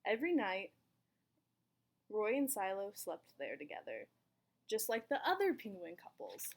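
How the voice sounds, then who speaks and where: A woman reading a children's picture book aloud in an expressive storytelling manner, with dramatic pauses. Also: medium pitch. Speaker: volunteer story reader.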